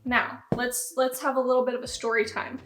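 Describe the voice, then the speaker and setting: Young woman, late twenties, chatty upbeat vlogger, slightly high voice. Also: level tone, a little fast, fairly bright tone. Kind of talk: casual chat to camera. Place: home, sitting down.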